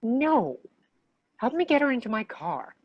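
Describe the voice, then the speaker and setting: A woman's voice: a little high, big pitch swings, a little slow, no echo, lo-fi sound. A woman playing a bossy, decisive demon hunter in a comedy table read, with a commanding, dry manner. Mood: brisk, commanding.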